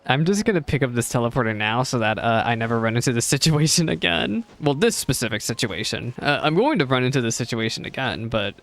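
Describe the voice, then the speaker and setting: Chatty male game streamer with creaky voice. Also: mid-range voice, expressive, quick pace, few pauses, fairly bright tone. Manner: chatty, self-deprecating, rambling. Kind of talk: casual live game reaction commentary.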